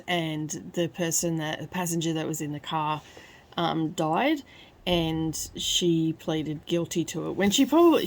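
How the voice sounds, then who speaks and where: A woman with a croaky voice from crying, talking softly at home in a casual book review vlog. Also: on the low side. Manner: hushed, soft-spoken so as not to wake others. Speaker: book vlogger. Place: at home, early morning.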